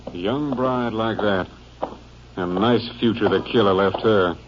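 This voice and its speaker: An American man playing a gruff, cautious Texas Ranger captain with clipped, deliberate delivery in a 1950s radio drama. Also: fairly low pitch, background noise, natural voice. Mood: serious, deliberate. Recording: dull old-time radio recording.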